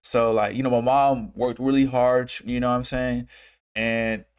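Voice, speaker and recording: A man in his twenties from Uganda who moved to America, hosting a casual livestream and talking in a halting, rambling way, repeating phrases and circling back. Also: medium pitch, level tone, clean tone. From Uganda, moved to America as a child. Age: 20s. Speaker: trading streamer and host.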